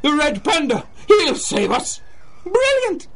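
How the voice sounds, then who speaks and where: An actor playing a mad, gleeful, theatrical supervillain in a radio drama, grandiose and given to gloating and cackling. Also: male voice, extremely high pitch, huge pitch swings, slow pace, a bit bright, heavily acted. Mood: mocking glee. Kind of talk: 1930s-style radio superhero drama acting.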